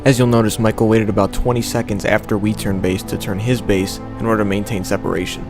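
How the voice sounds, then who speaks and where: A man with a fairly low voice narrates a flying vlog as a scripted voice-over. He talks fast, and clear music runs behind him. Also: little pitch movement. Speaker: pilot narrator.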